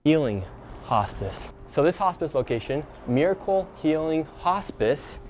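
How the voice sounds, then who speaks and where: A young man walking city streets on camera, reading out names and figures in a deadpan way. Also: a little high, a little slow, slight background noise. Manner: deadpan, reads figures aloud. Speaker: on-camera investigator. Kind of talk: walking documentary narration.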